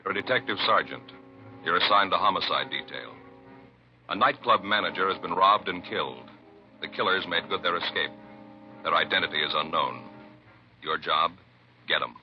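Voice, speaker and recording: A man's voice: deep voice, normal speed, long pauses, faint music behind. A man giving a stern, emphatic scripted opening narration addressed to the listener, on a muffled old radio recording. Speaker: announcer.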